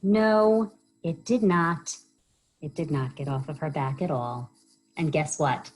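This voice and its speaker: A woman reading a children's story aloud as a library storyteller, expressive and often pausing to put questions to young listeners. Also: sits low, rough recording.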